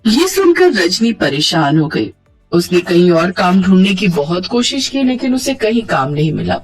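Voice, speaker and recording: A woman's voice: fairly low pitch, sing-song, moderate pace, soft background music. A woman narrating a scripted moral bedtime story as a storyteller.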